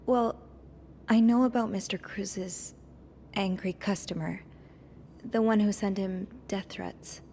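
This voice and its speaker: An actress playing a meek, evasive hotel maid in a 1950s mystery game, speaking hesitantly. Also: female voice, medium pitch, fairly even intonation, takes it slowly, long pauses, darkish tone, a little hiss or hum. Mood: uneasy.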